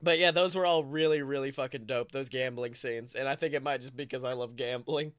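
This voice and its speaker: A man co-hosting a casual film chat, talking in an excitable, slangy way and swearing freely. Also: mid-range voice, a little fast, a crowd in the background. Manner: self-described nerd, animated. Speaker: podcast co-host.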